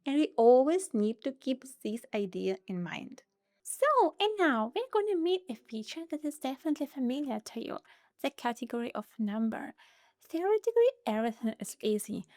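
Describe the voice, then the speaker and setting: A young woman with a Russian accent, teaching grammar in an online lesson. Her voice sits high and leaps around a lot. She keeps talking straight to her viewers in a playful way. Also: normal speed. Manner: lively, playful, talks directly to viewers.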